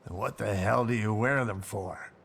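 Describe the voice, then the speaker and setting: An actor playing a blunt, sardonic grumbler in a video game, gruff and irreverent. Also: male voice, fairly low pitch, normal speed, fairly bright tone, a little hiss or hum. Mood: grumbling. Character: sardonic, grumbling, blunt man in old Warsaw. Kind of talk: video game cutscene acting.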